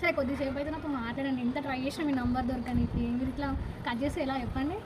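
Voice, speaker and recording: A woman's voice: high-pitched, fairly even intonation, rapid-fire, natural voice. A young woman playing a lovestruck girl on a prank call, pleading and coaxing insistently as she confesses her love. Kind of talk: prank phone call, acted romantic pleading. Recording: very noisy amateur recording.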